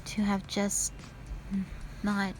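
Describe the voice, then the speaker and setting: A woman playing a wistful, scheming yet playful witch sister in an audio roleplay, speaking softly and reflectively to the listener. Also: mid-range voice, monotone, slow pace, a little hiss or hum, close to an everyday voice, low-quality recording. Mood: wistful, solemn.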